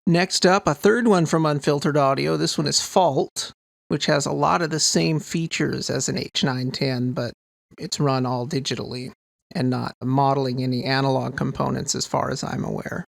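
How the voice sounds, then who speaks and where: A man's voice: mid-range voice, fairly even intonation, moderate pace, creaky. A man giving a casual, informal tutorial voice-over, explaining gear with enthusiasm as a sound designer.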